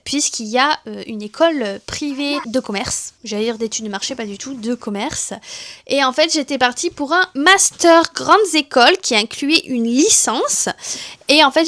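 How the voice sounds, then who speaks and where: A young woman from southwest France talks to her camera in a relaxed vlog, her voice sitting high and bright. She chats loosely, trailing off into fillers and restarting her sentences as she goes. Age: 30s. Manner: chatty, many fillers and restarts. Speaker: vlogger. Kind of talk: casual chat to camera.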